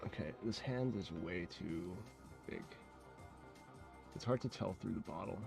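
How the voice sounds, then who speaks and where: A man's voice: low-pitched, a little slow, creaky. A man chatting casually on his livestream, muttering and swearing as he thinks aloud while working. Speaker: streamer drawing live. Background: music.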